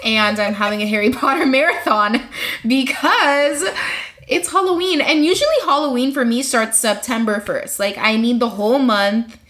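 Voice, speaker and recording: A woman with a somewhat high voice chats easily on a podcast. She is relaxed and giggles between thoughts. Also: normal speed. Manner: easygoing, giggly. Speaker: podcast co-host. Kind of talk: casual chat.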